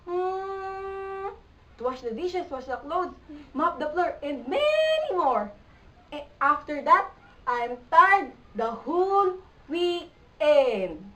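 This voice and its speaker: Woman as child pupil puppet, very high voice, swooping intonation, slow delivery, curious, interrupting. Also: big pitch swings, bright, forceful tone, distant mic, full character voice, rough recording. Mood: excited, chatty. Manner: inquisitive, interrupting. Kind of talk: puppet show skit.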